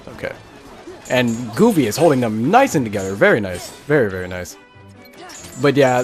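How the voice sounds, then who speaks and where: A man hosts a casual Let's Play with a mid-pitched voice. He rambles and thinks aloud, close to the mic, with faint game music underneath. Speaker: gaming video host.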